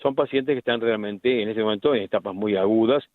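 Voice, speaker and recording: A middle-aged man with an Argentine accent, a medical specialist explaining things deliberately in a radio interview, with frequent restarts. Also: lowish voice, dry recording. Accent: Argentine Spanish. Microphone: phone line. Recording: tinny phone line, dry.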